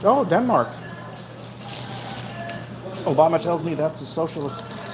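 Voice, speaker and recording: A man's voice: on the high side, expressive, a little slow, rough recording. Casual livestreaming man, music in the background. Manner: talks between bites, with frequent savoring hums. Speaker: livestream host. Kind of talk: casual chat while eating on a livestream.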